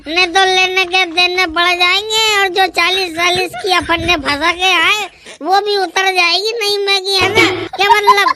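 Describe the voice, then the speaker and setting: A man voicing a drunken, wheedling husband in a rustic Hindi cartoon skit, his voice squeaky and artificially pitched up, blustering and pleading in repeated bursts. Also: level tone, moderate pace, heavy background noise. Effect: pitch raised like a cartoon.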